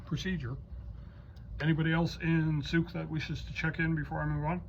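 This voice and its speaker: A man's voice: a little high, level tone, moderate pace. Guy doing net control on ham radio, procedural call-outs, muffled sound w noticeable noise. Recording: muffled lo-fi audio with clear noise.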